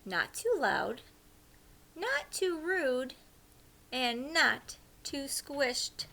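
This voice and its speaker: A woman with a high, bright voice reads a picture book aloud to young children. She takes it slowly and expressively, mixing animated storytelling with hushed asides to her listeners. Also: vocal fry. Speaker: teacher reading aloud to children.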